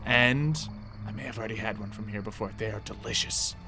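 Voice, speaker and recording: A man giving casual gameplay commentary, thinking aloud in a mild, halting way. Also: on the low side. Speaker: gamer playing a Minecraft roleplay series. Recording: game music behind the voice. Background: game music.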